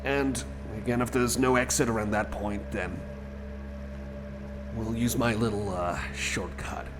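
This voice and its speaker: A man's voice: lowish voice, steady tone, unhurried, music in the background, close to an everyday voice. A man playing a friendly, anxious cyborg companion in an ASMR roleplay, rambling hesitantly and repeating himself as he chats to the listener. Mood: wary, protective.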